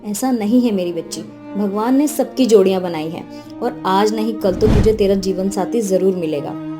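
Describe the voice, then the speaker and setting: A woman's voice: mid-range voice, normal speed, over music, natural voice. A woman voicing a protective, loving mother in a moral story, firm and emphatic in manner. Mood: comforting. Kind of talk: animated moral-story dialogue.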